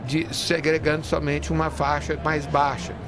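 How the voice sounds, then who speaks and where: Halting man over clear background noise. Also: fairly high pitch, monotone. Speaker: real estate industry leader, guest. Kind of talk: interview conversation. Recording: noisy venue with urban ambience. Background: clear ambient noise of a busy fair.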